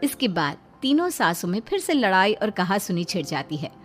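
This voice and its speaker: A woman delivers scripted story narration with faint music underneath. Her pitch is moderate, and she works as the storyteller linking scenes in a moral tale. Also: big pitch swings, moderate pace.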